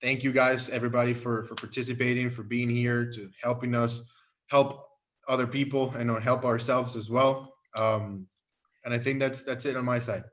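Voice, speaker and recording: Man, flat monotone delivery, encouraging, halting, video call. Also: mid-range voice. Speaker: panel chair. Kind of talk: closing remarks on a video call panel.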